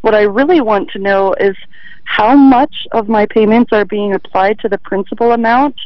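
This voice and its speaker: A woman's voice: medium pitch, moderate pace, dry recording, muffled recording. A woman calling in to a radio show, earnestly explaining her problem. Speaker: caller. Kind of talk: phone-in caller.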